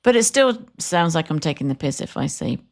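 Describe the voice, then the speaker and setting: A woman's voice: low voice, very animated, fairly quick, gravelly. A woman co-hosting a podcast, chatting casually and rambling, laughing easily. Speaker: podcast co-host. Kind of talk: casual chat.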